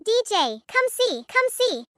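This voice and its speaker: A woman voicing an excited, affectionate little girl in an audiobook, eager and childlike. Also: very high voice, a little slow, exaggerated voice. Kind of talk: audiobook character dialogue.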